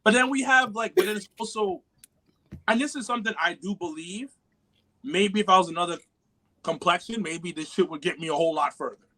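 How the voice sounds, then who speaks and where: A man from New York with a New York accent, talking loud and profane as a podcast guest, laughing at himself. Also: high-pitched, brisk. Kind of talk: casual chat.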